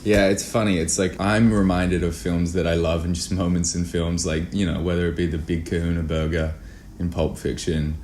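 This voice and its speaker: A man speaking as an interview guest in casual chat, musing in halting phrases. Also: low-pitched, flat delivery, darkish tone. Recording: faint background noise.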